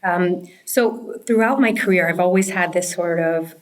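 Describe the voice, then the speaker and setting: A woman's voice: on the low side, unhurried. A woman, answering interview questions in an earnest, reflective, conversational way. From Pakistan and the United States. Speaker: interview guest, startup founder.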